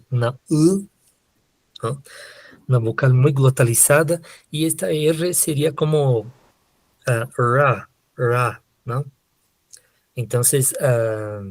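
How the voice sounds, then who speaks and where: A man from Brazil with a Brazilian Portuguese accent, giving an online lecture in a slow, hesitant way, full of fillers and tag questions. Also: medium pitch, a little mellow, dry recording. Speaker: literature lecturer. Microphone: computer mic on a video call.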